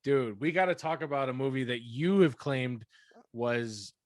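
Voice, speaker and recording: A man co-hosts a casual podcast, speaking at a middle pitch with a blunt, profane edge. He laughs easily as he complains, and his voice sits close and dry with no room sound. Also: unhurried, dry recording. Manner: blunt, profane, laughs often while griping. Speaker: podcast co-host; performer, musician. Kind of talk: casual chat.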